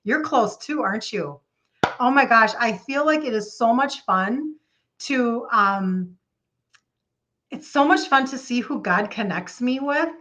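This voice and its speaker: A woman in her fifties with a highish voice and a Minnesota accent. She hosts a casual live chat, talking to viewers by name in an excitable, gushing way and repeating phrases for emphasis. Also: unhurried. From Minnesota, United States. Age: 50s. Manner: chatty, addresses viewers by name. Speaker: live stream host, health coach.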